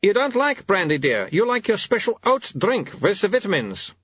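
A man's voice: high-pitched, very animated, moderate pace, fairly bright tone, husky, no room sound, highs cut off, voice pushed up, heavily acted. A man voicing a long-suffering, bossy wife in a storybook, firm, haughty and put-upon.

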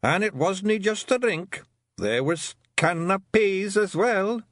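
A man's voice: high-pitched, expressive, takes it slowly, fairly bright tone, no room sound, studio quality, pitched above the performer's own voice, full character voice. A man voicing a cheeky, slightly tipsy little male pixie with a Scottish accent. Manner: cheeky, blurting.

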